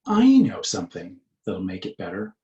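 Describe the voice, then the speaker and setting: A man's voice: deep voice, huge pitch swings, normal speed, warm tone, reverberant, distant mic, dull audio, pitched below the performer's own voice. A man voicing a cheerful, helpful male bird in a picture-book reading. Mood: concerned, helpful.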